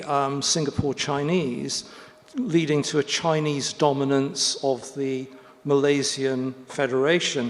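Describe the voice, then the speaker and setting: Man moderating a panel, gravelly voice, halting and thinking aloud, long hall reverb. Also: a little high. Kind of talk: panel discussion.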